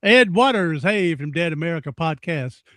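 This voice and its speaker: A man's voice: fairly high pitch, animated, normal speed, bright, forceful tone, no echo, studio quality. A man in his sixties hosting a podcast interview, folksy and rambling, often repeating himself. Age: 60s. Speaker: podcast host. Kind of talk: casual interview chat.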